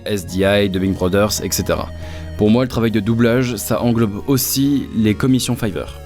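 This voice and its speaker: A man's voice: mid-range voice, darkish tone. A man who works as an amateur voice actor, narrating a scripted explainer in a chatty way, with explanatory asides. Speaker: amateur voice actor presenting own work. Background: background music.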